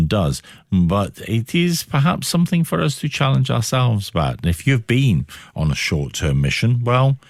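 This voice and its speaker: Low-voiced, animated male radio host. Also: no room sound, studio quality. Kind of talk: radio interview.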